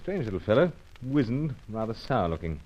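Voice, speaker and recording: An actor playing a suave, unflappable secret agent, clipped understatement and dry wit, in old-time radio adventure style. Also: male voice, on the low side, sing-song, a little fast, a little mellow. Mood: brisk, matter-of-fact. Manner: clipped, telegraphic, nonchalant understatement. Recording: dull, muffled old radio recording.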